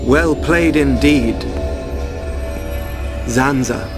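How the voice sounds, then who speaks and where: Very slow, theatrical sly villain. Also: male voice, mid-range voice. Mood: smug, amused. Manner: drawling, theatrical. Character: sly, mocking villainous accomplice, smugly courteous. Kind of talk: dramatic video game villain acting. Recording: ominous music behind.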